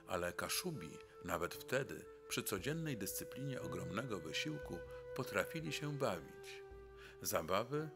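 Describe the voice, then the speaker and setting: A man narrates a documentary from a script in a deep voice with a marked gravelly creak. Instrumental music plays clearly behind him.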